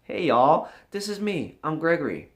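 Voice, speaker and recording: Higher-voiced man, roomy echo. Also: very animated, moderate pace. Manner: earnest, emphatic, encouraging. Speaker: vlogger, channel host. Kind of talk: motivational talk to camera.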